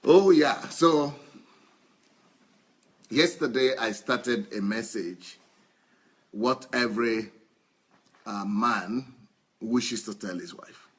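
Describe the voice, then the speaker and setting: A man's voice: mid-range voice, very animated, very slow, long pauses, husky. A man, a pastor, teasing his congregation in a playful church talk before his sermon. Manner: playful, jokes and laughs often.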